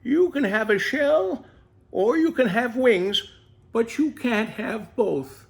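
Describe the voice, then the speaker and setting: Guy doing an ancient wise mama tortoise, high pitched, kindly, slow storybook talk. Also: lively intonation, creaky, full character voice. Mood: gentle, consoling. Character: wise, gentle, very old mother tortoise.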